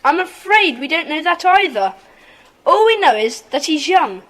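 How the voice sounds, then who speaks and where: Take-charge little boy gang leader, high n lively, kids radio play. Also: normal speed. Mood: keen. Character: decisive, bossy schoolboy leader of secret club. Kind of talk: children's audio drama acting.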